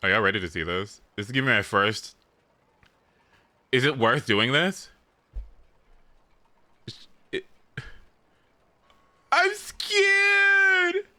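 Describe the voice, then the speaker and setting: A man chatting casually as he streams a video game, often leaping into high, squeaky exclamations. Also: sing-song, slow pace, long pauses, pushed and bright. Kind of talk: casual gaming stream chat.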